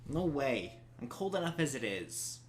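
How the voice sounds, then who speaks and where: An actor plays a sulky little boy dragon in an audio drama. He whines and grumbles slowly, with big pitch swings and faint noise behind. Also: very animated. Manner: whiny, sulky. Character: young male baby dragon, grumbling, chilly. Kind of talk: fan audio drama acting.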